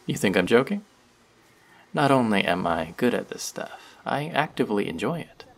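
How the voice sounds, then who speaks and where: A man playing a husky teasing barista. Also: mid-range voice, sing-song, moderate pace. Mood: playful. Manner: playful, rambling, teasing. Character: chatty young male barista, friendly and teasing. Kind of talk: scripted audio roleplay.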